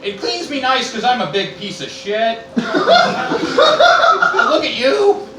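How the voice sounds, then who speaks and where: Performer doing a goofy pitchman in a noisy room. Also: sing-song, slow pace. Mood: gleeful silliness. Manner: laughing while talking, crude. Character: goofy, gleefully self-deprecating, foul-mouthed commercial pitchman. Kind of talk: improvised parody advertisement. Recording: echoey room, distant mic, loud noise.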